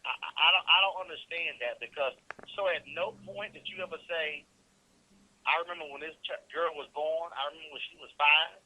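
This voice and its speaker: A man's voice: fairly high pitch, a little fast, sharp tone. A man hosting a call-in radio show, questioning pointedly and incredulously and repeating points back with emphasis. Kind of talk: probing call-in interview. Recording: noisy radio call-in line.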